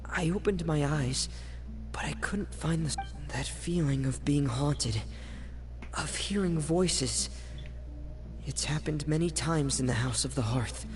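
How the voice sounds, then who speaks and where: Give me a reserved young man who speaks softly and hesitantly. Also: a little high. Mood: guarded. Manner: soft, hesitant, halting. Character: shy reserved young man, quiet and guarded. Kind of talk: video game cutscene voice acting. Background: game music.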